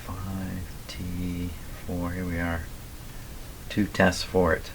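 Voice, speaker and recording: A man with a slightly hoarse voice gives casual running commentary as he works, muttering to himself and reading things out deliberately. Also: deep voice, monotone. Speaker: radio repairer and video host. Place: radio repair workshop at a bench. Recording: clearly noisy workshop recording with clicks.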